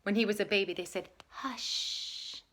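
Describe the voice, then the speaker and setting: A woman with a bright, slightly high voice speaks as a teacher at storytime. She is expressive and emphatic, and her pitch moves around a lot as she explains and reads aloud. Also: normal speed. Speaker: teacher reading a picture book aloud. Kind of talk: lesson talk and animated storybook reading.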